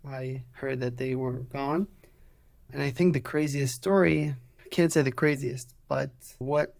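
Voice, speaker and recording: A man in his twenties with an Israeli accent, a native Hebrew speaker, telling his story as an interview guest in a measured, halting way. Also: mid-range voice, creaky, darkish tone. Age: 20s. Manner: measured, halting, many "uh" pauses. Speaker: guest, former soldier.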